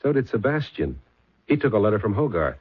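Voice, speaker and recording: An actor playing a persistent police sergeant with a clipped, probing way of questioning, in a 1970s radio drama. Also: male voice, lowish voice, big pitch swings, fairly quick, husky. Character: persistent middle-aged male police sergeant. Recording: tinny old radio broadcast.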